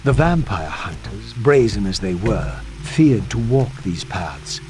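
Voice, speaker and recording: An actor playing a brooding undead wraith, narrating a video game in formal, solemn, archaic language. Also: male voice, fairly low pitch, big pitch swings, slow pace, darkish tone, faint music behind. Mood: brooding, resolute. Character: brooding undead wraith, eloquent male antihero seeking his fate. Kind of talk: theatrical scripted game narration.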